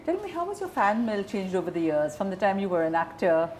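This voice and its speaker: A woman's voice: mid-range voice, sing-song, fast talker, background noise. A woman interviewing a guest in a conversational style. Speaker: interviewer, journalist.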